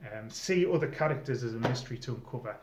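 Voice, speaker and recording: A man's voice: mid-range voice, lively intonation, quick pace, noisy background. A middle-aged man giving informal, conversational advice as a presenter explaining things to newcomers. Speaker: presenter, former game storyteller. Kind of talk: informal advice video monologue. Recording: echoey room, slightly dull sound.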